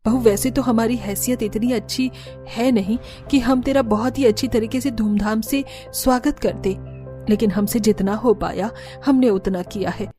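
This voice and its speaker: A woman's voice: on the high side, fairly even intonation, normal speed, warm tone, soft background music, lightly acted. A woman voicing a humble middle-aged mother-in-law in a cartoon, motherly and apologetic. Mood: welcoming, apologetic. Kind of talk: Hindi cartoon story dialogue.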